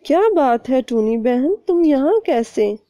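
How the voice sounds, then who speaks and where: Mild cartoon mouse fellow, voiced by a woman, polite, grateful, slow, very mellow. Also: high-pitched, lively intonation, dry recording, voice pushed up. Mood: helpful. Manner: polite, deferential.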